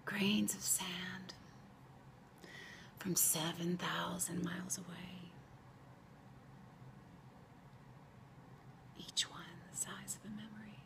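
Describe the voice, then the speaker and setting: A woman performing a dramatic monologue as a wistful, wounded former lover, hushed and breathy, with long pauses. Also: on the low side, steady tone. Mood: hushed, aching. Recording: faint background noise.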